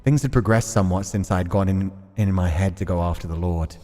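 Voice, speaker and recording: A man reading a visual novel aloud as an expressive, dramatic narrator, acting out the characters. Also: low voice, a little fast, big-room sound, soft background music.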